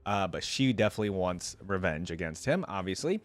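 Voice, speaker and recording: A man's voice: deep voice, very animated, fairly quick. A man giving casual, rambling reaction commentary as a video host, thinking aloud.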